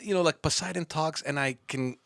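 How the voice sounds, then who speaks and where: A young man with a Montreal English accent hosting a podcast, telling stories in a chatty, animated way and laughing often. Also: a little high, fairly quick, vocal fry, no echo, clean studio sound. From Canada. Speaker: podcast host. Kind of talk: casual interview chat.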